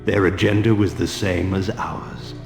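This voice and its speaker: An actor playing an ancient, arrogant vampire lord in a video game, speaking in a theatrical, deliberate, cynical way. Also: male voice, low voice, little pitch movement, vocal fry. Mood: scornful. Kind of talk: dramatic video game acting. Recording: echoey hall reverb over music.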